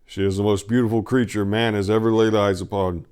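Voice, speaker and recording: A man voicing a commanding warlord who addresses his lords loudly, in grand, proud oratory for a fantasy story. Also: lowish voice, little pitch movement, brisk, clean tone, lightly acted. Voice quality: loud, declamatory.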